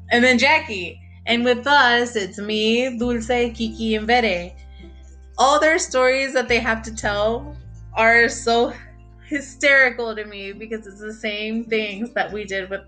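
A woman in her thirties hosting a podcast in a casual monologue, talking fast and excitedly, stretching her words, swearing often and dropping Spanish phrases into her talk. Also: mid-range voice. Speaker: podcast host.

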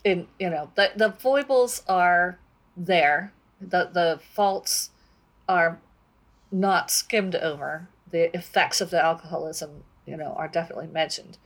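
A woman co-hosting a book discussion, talking casually in a measured, deliberate way. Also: fairly low pitch.

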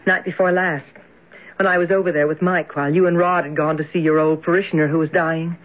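A woman's voice: low voice, steady tone, fast talker, close to an everyday voice. An actress playing a troubled, inquisitive young woman in an old-time radio drama, hesitant and confiding. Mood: uneasy, curious. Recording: dull, band-limited old radio recording.

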